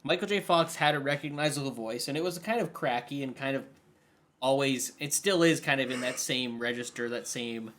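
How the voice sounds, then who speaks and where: A man's voice: mid-range voice, a little fast, fairly bright tone. A man co-hosting a casual podcast, explaining his view in a thoughtful, somewhat hesitant way. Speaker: podcast co-host. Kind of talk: casual chat.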